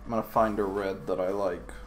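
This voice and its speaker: A man hosting a casual, unscripted software tutorial, thinking aloud in short, halting phrases. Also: on the low side, flat delivery, soft background music.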